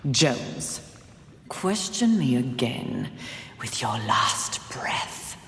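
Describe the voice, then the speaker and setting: A woman's voice: rumbling low, big pitch swings, drawn-out words, exaggerated voice. Amid heavy echo and faint ambience, a female horseman of the apocalypse for a video game. She is imperious and contemptuous, delivering every line with sardonic, clipped disdain. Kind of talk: video game cutscene acting. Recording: reverberant game mix, faint ambient noise.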